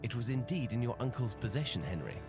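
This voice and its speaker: An actor playing a confident, analytical gentleman detective in a video game, speaking in a measured, formal and authoritative way. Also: mid-range voice, big pitch swings. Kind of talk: video game cutscene acting. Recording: music behind the voice. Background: game music.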